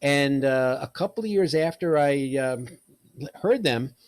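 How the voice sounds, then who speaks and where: A man presents in a relaxed conversational style. He is wry and jokes as he goes, talking informally like a hobbyist sharing memories. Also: medium pitch, a little slow, smooth. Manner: wry, joking. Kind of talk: casual talk about old shortwave radio.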